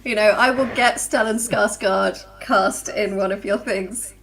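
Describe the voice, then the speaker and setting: A woman's voice: medium pitch, fairly even intonation, measured pace, creaky, fairly bright tone, low-quality recording. A woman joining a video call as a guest, chatting playfully and teasing with frequent laughter. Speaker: guest author. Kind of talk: casual chat among friends.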